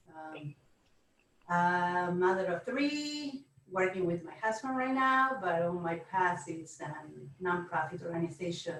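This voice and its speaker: Lower-voiced Argentine woman, roomy noisy recording. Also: a bit bright. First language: Spanish. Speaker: podcast guest. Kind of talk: casual self-introduction. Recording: roomy, distant mic with background noise.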